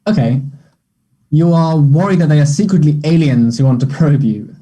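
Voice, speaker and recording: Reserved loner schoolboy, played by a man, very mellow, husky voice, terse and understated, naturalistic play dialogue. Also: on the high side, expressive, normal speed, rough recording. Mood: warming, amused. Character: guarded, reserved teenage schoolboy, quietly kind loner.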